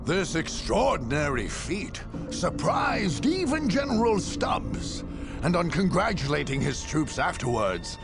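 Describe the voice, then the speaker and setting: An actor playing a grave, solemn war chronicler, narrating a video game cutscene in a gravelly, theatrical and portentous way. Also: male voice, a little high, huge pitch swings, a little slow, music in the background, full character voice. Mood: grave, proud.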